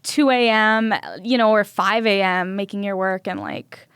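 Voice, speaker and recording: Chatty woman in her twenties, smooth voice. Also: medium pitch, unhurried, no room sound, studio quality. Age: 20s. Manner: chatty, heavy use of "like", animated. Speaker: podcast guest, visual artist. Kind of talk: casual interview chat.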